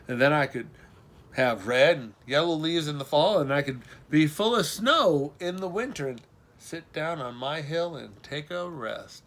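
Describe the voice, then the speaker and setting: A man's voice: fairly high pitch, vocal fry, a bit bright, heavily acted. A man voicing an eager, dreamy young girl who gushes breathlessly in a storybook reading. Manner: breathless, gushing.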